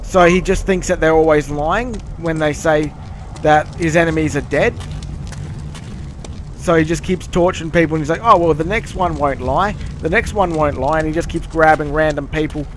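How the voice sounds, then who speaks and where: A man's voice: on the high side, normal speed. A man with an Australian accent chatting casually while he plays a game, rambling and swearing freely. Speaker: gamer playing on stream. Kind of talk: casual gaming chat. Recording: noisy game capture.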